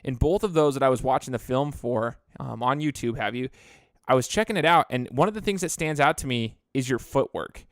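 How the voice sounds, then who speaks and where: Chatty podcast host, dry close mic. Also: male voice, mid-range voice, brisk, no echo. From Idaho. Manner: chatty, rambling with restarts. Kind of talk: casual interview.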